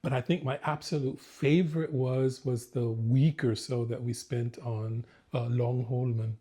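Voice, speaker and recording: Husky American man telling lively stories. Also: mid-range voice, a little slow. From United States. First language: English. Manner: vivid, laughing anecdotes with restarts. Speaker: guest, former actor. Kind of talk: casual storytelling interview.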